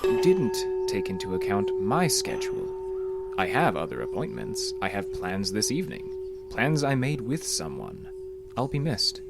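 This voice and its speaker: Low-voiced, composed male therapist. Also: huge pitch swings, creaky, over loud music, heavily acted. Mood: composed, challenging. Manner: measured, dry, clinical. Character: composed, probing male psychotherapist, quietly needling. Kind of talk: audio drama acting.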